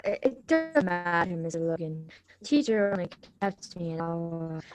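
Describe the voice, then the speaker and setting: A woman's voice: on the low side, expressive, measured pace, over loud music. A woman reading the part of a nervous, evasive teenage schoolgirl in a radio drama, hesitant and apologetic. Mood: politely evasive.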